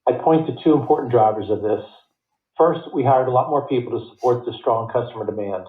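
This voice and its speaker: A man's voice: medium pitch, a little fast, echoey room. Tinny phone-line man reading financial results. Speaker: company finance executive. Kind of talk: scripted earnings report read aloud. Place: conference call line.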